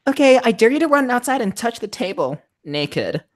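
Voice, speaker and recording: A man voicing an excitable, bossy young friend who eagerly goads others in slangy talk, in an amateur drama. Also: very high voice, moderate pace, pitched above the performer's own voice. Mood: goading.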